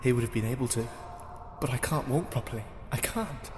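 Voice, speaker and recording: A man's voice: mid-range voice, a little fast, echoey room, big-room sound, noisy background, close to an everyday voice. A man playing an anguished, grieving prisoner in an audio drama, stammering and emotional. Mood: bitter, defeated. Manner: emotional, stammering, swinging from whispers to screams.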